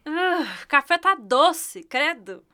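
A woman's voice: high-pitched, sing-song, slow, bright, forceful tone, heavily acted. A woman acting in a Brazilian radio drama as a bitter, longing fifty-one-year-old retired detective, with a Brazilian accent. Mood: grumbling.

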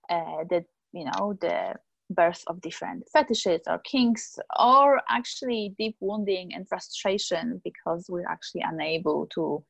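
A woman's voice: lowish voice, vocal fry, no room sound, lo-fi sound. A woman from Poland speaking about her work as a guest, in earnest, flowing answers. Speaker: tantra teacher and guest. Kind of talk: conversational interview answers.

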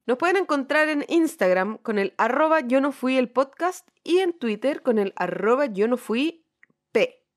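Chilean woman with a higher-pitched voice. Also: lively intonation, normal speed. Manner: colloquial, slangy. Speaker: podcast co-host. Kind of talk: casual chat.

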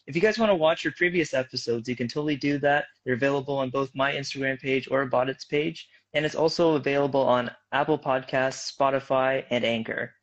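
A man's voice: mid-range voice, a little fast, hardly any pauses, dull audio. Upbeat young male podcast host. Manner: upbeat, friendly, some filler restarts. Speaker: podcast co-host, dental student. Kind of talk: casual podcast hosting.

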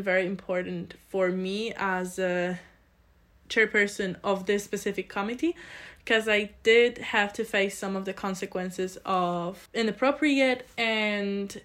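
A woman's voice: medium pitch, a bit bright. A woman of about twenty with a Polish accent, answering interview questions in an earnest, explanatory, conversational way. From Poland. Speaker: interview guest, student committee chair.

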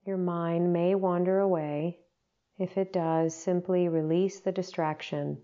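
A woman guiding a meditation in a gentle, unhurried, instructional manner, leaving long pauses between short phrases. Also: fairly low pitch, steady tone, lo-fi sound. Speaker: meditation guide. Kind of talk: guided meditation narration.

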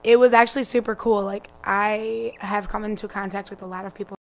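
A woman with a raspy voice, a musician talking casually in an interview, laid-back and laughing often. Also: medium pitch, fairly even intonation, brisk, a little hiss or hum, low-quality recording. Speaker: musician guest.

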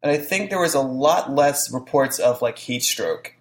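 This young host chats loosely and says "like" a lot. The pitch leaps around as they talk. The voice bounces around a reverberant room. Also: normal speed. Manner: animated, says "like" a lot, does mocking quoted voices. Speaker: podcast host. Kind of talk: casual chat. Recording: echoey room.

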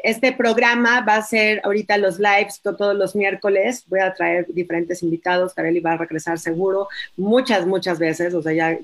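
Upbeat woman hosting a live show. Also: fairly low pitch. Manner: upbeat, affirming, with short backchannels. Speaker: host.

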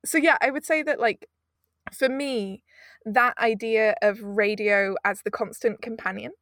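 A woman's voice: a little high, fairly even intonation, measured pace, fairly bright tone, dry recording, clean studio sound. A woman in her twenties from the UK, chatting casually as an interview guest, rambling with frequent laughs. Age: 20s. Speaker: audio producer, guest.

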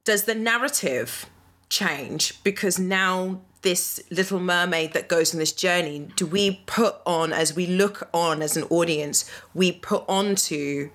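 Low-voiced woman host asking questions. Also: measured pace, fairly bright tone. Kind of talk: casual discussion.

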